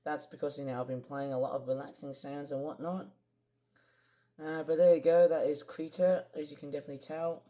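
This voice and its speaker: Croaky young man rambling. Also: a little high, level tone, fairly quick, dark tone. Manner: rambling, many fillers and restarts. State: croaky, clearing throat. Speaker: vlogger. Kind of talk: casual screen-share vlog.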